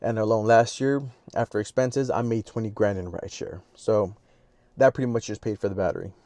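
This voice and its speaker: A man's voice: lowish voice, a little fast, warm tone, no echo. A man with a Mexican Spanish accent vlogging casually at a public charger, rambling and self-deprecating. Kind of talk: casual vlog to camera. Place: outdoors at a public car charger.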